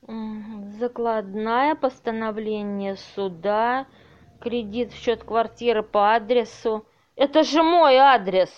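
Actress as high-voiced, distraught, unhurried woman. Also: highs cut off. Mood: bewildered, anxious. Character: Veronika, distraught trusting woman losing her flat. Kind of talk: amateur audio play acting.

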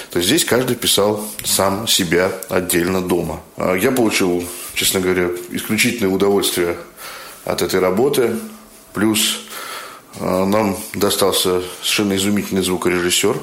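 A man's voice: low voice, a bit bright. A man talking informally and reflectively as an amateur actor, in a roomy recording. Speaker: amateur actor and chat group administrator. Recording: echoey room.

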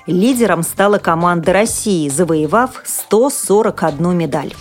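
A woman news presenter reading a scripted bulletin in a formal, measured way with careful enunciation. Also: on the low side, animated, faint music behind.

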